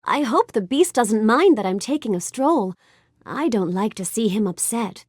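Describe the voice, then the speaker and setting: A woman's voice: fairly high pitch, lively intonation, normal speed, a little mellow, no room sound, clean studio sound. A woman voicing a sweet, polite, bookish young fairy-tale heroine in a video game, gracious and full of earnest wonder. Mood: gentle, curious. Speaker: video game character voice actress. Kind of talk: animated game character lines addressed to the player.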